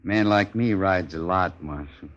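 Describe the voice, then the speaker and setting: A man playing a cold, taunting gunman villain in a 1950s western radio drama, speaking deliberately. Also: sits low, a bit bright. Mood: coolly taunting. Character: cold, menacing gunman villain of the Old West. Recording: dull old radio recording.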